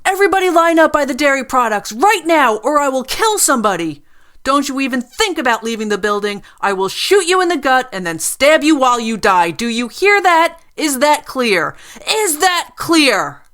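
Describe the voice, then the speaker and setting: A woman voicing a furious, unhinged gunwoman in a storybook reading, yelling shrill threats. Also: big pitch swings, normal speed. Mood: screaming. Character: screaming unhinged gunwoman, adult female.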